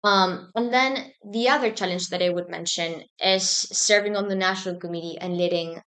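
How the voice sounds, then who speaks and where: A woman's voice: lowish voice, fairly bright tone. Young woman, thoughtful guest. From Argentina. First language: Spanish. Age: young adult. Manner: thoughtful, measured, frequent ums. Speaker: student society president, guest. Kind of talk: casual interview talk.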